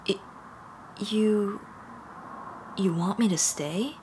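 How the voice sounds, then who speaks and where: A woman's voice: medium pitch, very slow, darkish tone, a little hiss or hum. A woman playing a nervous, apologetic young female dullahan in an intimate ASMR roleplay, speaking hesitantly with stammers and self-deprecation. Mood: surprised, hopeful.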